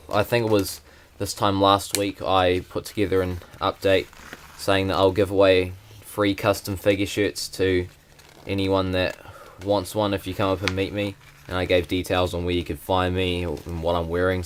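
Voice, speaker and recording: A man's voice: low-pitched, a little hiss or hum. A young man, talking hesitantly with many fillers in a casual vlog. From New Zealand. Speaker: vlogger.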